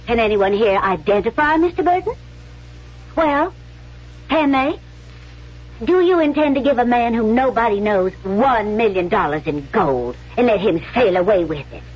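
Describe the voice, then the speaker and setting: An actress playing a proud, poised stage performer accused of spying, theatrical and dignified, in a 1970s radio drama. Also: female voice, a little high, animated, normal speed, slightly rough. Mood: defiant, indignant. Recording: dull vintage radio recording.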